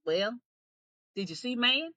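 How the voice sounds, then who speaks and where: Woman voicing a slow, cautious storybook bear. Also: fairly high pitch, very animated, sharp tone, exaggerated voice. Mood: wary. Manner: slow, folksy dialect.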